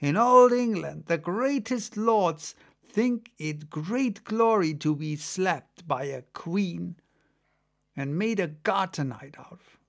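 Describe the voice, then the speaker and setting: A man's voice: sits high, expressive, slow pace, creaky, full character voice. A man voicing an old hunchbacked merman who mutters, argues and lectures in a storybook reading. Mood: lecturing, persuasive.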